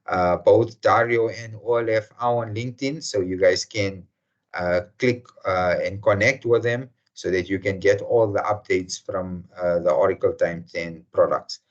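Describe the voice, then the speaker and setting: A man from South Africa hosting an online workshop session, speaking slowly with many fillers over a video call. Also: deep voice, fairly even intonation. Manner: slow and hesitant, many fillers. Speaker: webinar host. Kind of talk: formal welcome to an online session. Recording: muffled video call audio.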